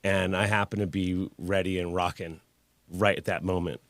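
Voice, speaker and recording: A man's voice: low-pitched, little pitch movement, moderate pace, no room sound. A man in his forties, a guest artist telling his story in a candid, laid-back way with casual swearing. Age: 40s. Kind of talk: casual interview conversation.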